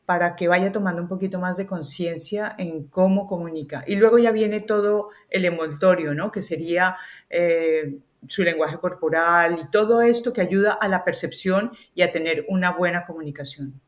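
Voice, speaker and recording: A woman's voice: on the low side, normal speed. Colombian woman explaining as a guest. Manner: articulate, explanatory, with hesitant fillers. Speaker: guest, communication coach. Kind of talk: conversational podcast interview.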